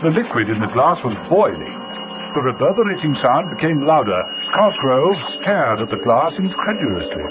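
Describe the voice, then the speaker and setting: A man narrating an old-time radio serial in a measured, dramatic storytelling manner. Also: medium pitch, sing-song, background noise.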